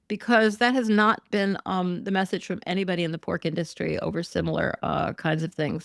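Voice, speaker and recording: A woman's voice: lowish voice, dry recording. Chatty woman podcast host, dry sound. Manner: chatty, wry, frequent ums and self-corrections. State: losing her voice. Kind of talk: casual solo commentary podcast.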